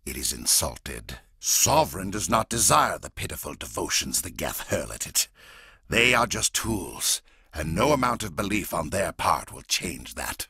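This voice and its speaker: A man voicing a menacing, fanatical alien warlord for a video game, gravelly and raspy, with a grandiose, deliberate, intense delivery. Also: low-pitched, sharp tone, no room sound, clean studio sound, full character voice. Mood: cold, ominous. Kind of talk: dramatic video game voice acting.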